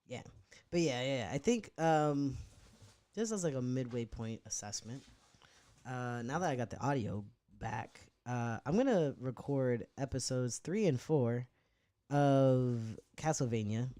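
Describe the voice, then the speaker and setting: A young man chatting loosely with his live-stream viewers, swearing often and drifting from thought to thought. His voice sits in a medium range, neither deep nor high. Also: animated, a little slow, mellow. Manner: rambling, loose and profane, talking to chat. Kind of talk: casual live-stream chat.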